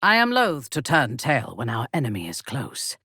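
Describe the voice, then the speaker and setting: A woman playing a proud, ruthless female dark elf warrior in a video game, speaking in a cold, commanding, formally measured manner. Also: extremely low pitch, huge pitch swings, husky, dry recording, studio quality, full character voice. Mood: solemn, intense. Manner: imperious, measured, formal phrasing.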